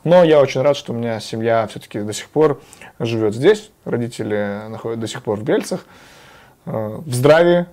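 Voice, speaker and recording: Mellow-voiced young man, measured and hesitant. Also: mid-range voice, lively intonation. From Moldova. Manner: measured, hesitant with many filler pauses. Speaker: guest, former local official. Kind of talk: studio interview. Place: TV studio. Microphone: lapel.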